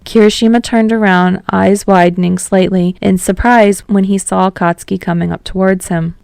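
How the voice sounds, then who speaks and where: A woman's voice: mid-range voice, fairly even intonation, normal speed, gravelly, darkish tone, no room sound. A woman reading scripted fiction aloud as a narrator.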